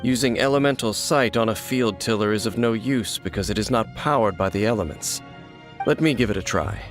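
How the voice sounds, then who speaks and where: An actor playing a grave, guarded warrior in an anime-style video game, speaking in a measured, formal way. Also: male voice, on the low side, lightly acted. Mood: analytical. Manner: measured, formal, serious, explanatory. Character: grave, guarded male warrior, knowing and solemn. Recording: studio voice over background music. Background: game music.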